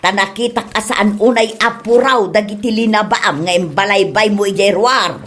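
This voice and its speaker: A woman's voice: medium pitch, moderate pace, fairly bright tone, lightly acted. A woman with a Filipino accent playing a nagging, exclaiming older mother-in-law in an Ilocano comedy radio drama. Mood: teasing, prying.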